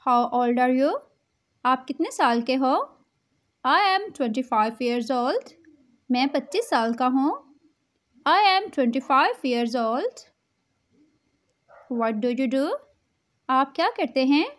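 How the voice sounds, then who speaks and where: Slow, high-voiced woman teacher. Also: expressive. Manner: English spoken very slowly, Urdu lines quick. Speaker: English teacher. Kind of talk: slow English practice drill with Urdu translations.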